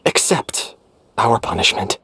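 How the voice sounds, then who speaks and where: A man's voice: a little slow, sharp tone, slight background noise. An actor playing a dutiful, remorseful young man from a secretive orphan syndicate in a video game. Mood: resigned. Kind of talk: dramatic video game voice acting.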